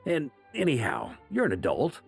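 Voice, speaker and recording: Jovial roguish knight commander for a game: middle-aged, casual drawl, very lively mid pitch, light music. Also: male voice, moderate pace, vocal fry. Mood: reflective, easygoing. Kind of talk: anime game voice acting.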